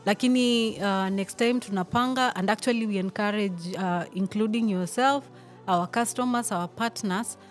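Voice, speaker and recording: Young Kenyan woman, sales lead guest, relaxed explainer, faint music behind. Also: mid-range voice, normal speed. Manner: relaxed, explanatory, laughs easily, many fillers. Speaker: interview guest, sales team leader. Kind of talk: casual interview, switching to Swahili to explain.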